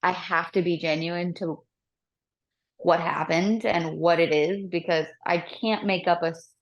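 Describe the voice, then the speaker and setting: A woman's voice: fairly low pitch, steady tone, measured pace, lo-fi sound. A woman singer from Florida, a podcast guest, chatting casually and animatedly, with frequent laughs and lots of "like.".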